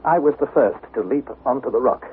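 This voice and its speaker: A man's voice: medium pitch, a little fast, narrow, tinny audio, lightly acted. An actor playing an earnest, anxious young nephew, precise and dramatic as he narrates and acts in an old radio adventure. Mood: tense, measured. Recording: thin, noisy vintage radio recording.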